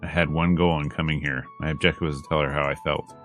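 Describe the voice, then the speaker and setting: Very low male voice, visual novel read-aloud, measured dramatic narration, music clearly behind. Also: flat delivery, fairly bright tone. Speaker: let's player reading a visual novel aloud. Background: game music.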